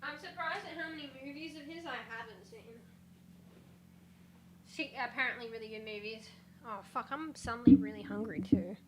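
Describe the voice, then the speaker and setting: A congested woman chatting casually on a livestream, rambling, swearing and breaking into sudden loud exclamations as she reads things aloud. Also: a little high, normal speed, noisy background. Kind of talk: casual stream chat, reading aloud.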